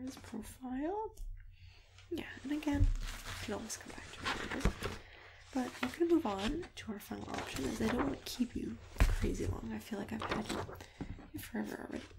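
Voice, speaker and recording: A woman doing a soft-spoken ASMR roleplay as a friendly matchmaker, often dropping into a whisper, gentle, chatty and hesitant as she reassures her client. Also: high voice, gravelly, noisy background. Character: friendly female matchmaker for monsters. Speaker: ASMR host playing a matchmaker. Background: computer keyboard typing and clicks.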